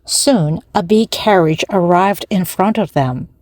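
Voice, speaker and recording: Woman narrator, very slow and deliberate, clear storybook reading, dry sound. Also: lowish voice, darkish tone, dry recording. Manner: slow, careful, evenly paced reading. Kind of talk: slow read-aloud for language learners.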